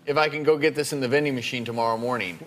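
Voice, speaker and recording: A man's voice: medium pitch, steady tone, racing, fairly bright tone, low-quality recording. A man moderating a panel discussion, posing a question hesitantly.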